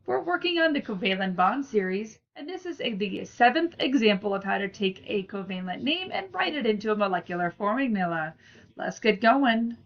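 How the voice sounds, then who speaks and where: A woman's voice: medium pitch, animated, normal speed, far from the mic, lo-fi sound. A woman teacher giving an informal step-by-step lesson, speaking directly and encouragingly to the learner. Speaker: teacher and channel host.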